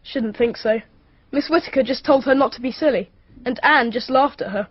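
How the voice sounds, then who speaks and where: A woman's voice: high-pitched, normal speed, breathy edge, no echo. A woman playing a blunt, offhand schoolboy in a radio mystery drama, dismissive and matter-of-fact. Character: blunt, scornful schoolboy, brother of a dead girl. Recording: muffled radio drama recording.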